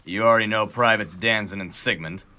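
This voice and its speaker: A man's voice: lowish voice, a little fast, background noise, lightly acted. A man playing a weary, authoritative snowman army major in a comic audio drama. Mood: stern. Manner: authoritative, no-nonsense.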